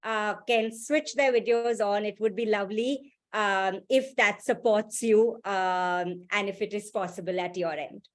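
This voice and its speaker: A woman facilitating an online workshop over a video call, speaking in a brisk, friendly way with many fillers. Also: mid-range voice.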